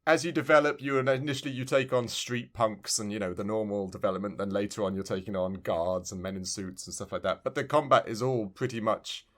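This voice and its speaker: A man's voice: fairly low pitch, fairly even intonation, brisk, hardly any pauses, a bit bright. A man co-hosting a casual video game review podcast, talking in a rambling way and often restarting his phrases. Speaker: podcast co-host.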